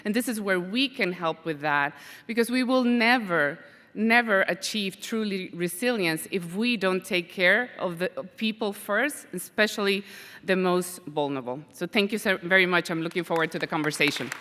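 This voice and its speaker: A woman's voice: fairly low pitch, moderate pace, fairly bright tone. A woman from a nonprofit giving an earnest conference presentation, with many fillers and restarts. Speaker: nonprofit speaker. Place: conference hall. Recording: reverberant hall sound.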